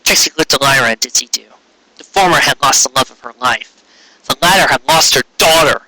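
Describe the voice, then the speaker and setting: A man reading a story aloud in an expressive live style, with a casual way of talking. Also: medium pitch, a little fast, rough recording. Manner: expressive, acting out character dialogue. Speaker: reader of fan fiction.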